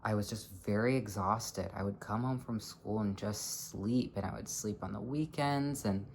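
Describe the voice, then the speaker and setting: A young adult in their twenties speaks in a low voice at an ordinary, mid-range pitch. They talk earnestly and haltingly, pausing to search for words as they reflect aloud. A faint hiss sits beneath the voice. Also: darkish tone. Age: 20s. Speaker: vlogger. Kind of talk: personal reflective monologue.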